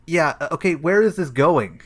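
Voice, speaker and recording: A man playing an awkward, joke-prone police detective in a comedic audio play, hesitant and deadpan as he rambles. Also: on the high side, moderate pace. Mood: flustered.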